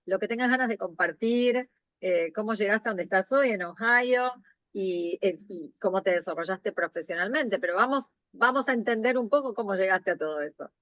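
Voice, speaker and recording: A woman's voice: medium pitch, normal speed, fairly bright tone, no echo. Woman co-host with a dull, dry sound. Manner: repeats herself while asking questions. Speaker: podcast co-host. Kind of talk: casual interview.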